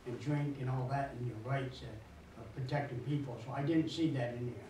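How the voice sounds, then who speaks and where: A committee member, a man, asks a question haltingly, feeling for his words. He is heard from far off in a very echoey hearing room, with clear noise behind. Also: medium pitch, dark tone. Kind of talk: questioning a witness.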